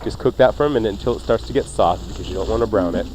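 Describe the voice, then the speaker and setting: A man's voice: on the low side, racing, a little mellow, slight background noise, rough recording. A man hosting a radio cooking show, chatting casually and playfully as he jokes through recipes. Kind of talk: casual, jokey recipe banter.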